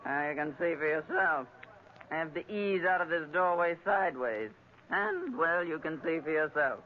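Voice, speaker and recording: Brassy flirtatious barkeep, swooping voice. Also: female voice, deep voice, lively intonation, moderate pace. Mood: inviting. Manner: gushing, teasing, theatrical. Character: brassy, flirtatious, stout aging female bar proprietor. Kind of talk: 1940s radio acting. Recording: muffled, noisy vintage 1940s radio recording.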